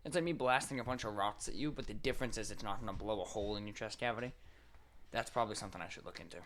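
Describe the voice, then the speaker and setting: A man's voice: lowish voice, very fast, fairly bright tone, a little hiss or hum. An American man chatting casually and bluntly as a podcast co-host. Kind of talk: casual chat.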